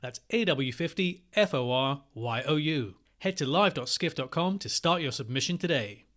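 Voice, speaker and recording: Man, slightly high-pitched, podcast host, scripted presenting. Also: lively intonation, normal speed, fairly bright tone.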